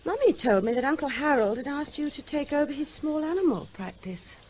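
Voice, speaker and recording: A young woman in an old radio play, her voice high and swinging widely in pitch. She teases and coaxes with playful energy, over a faint tape hiss. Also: fairly quick. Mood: lively. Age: young adult. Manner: playful, animated, coaxing. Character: lively young woman, vet's grown daughter. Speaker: Tess, the daughter. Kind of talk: vintage radio drama acting.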